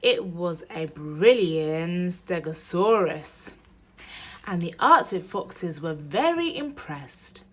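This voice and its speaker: A woman reading a children's picture book aloud in an expressive storytelling manner meant for young listeners. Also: on the low side, slow pace. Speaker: storybook reader.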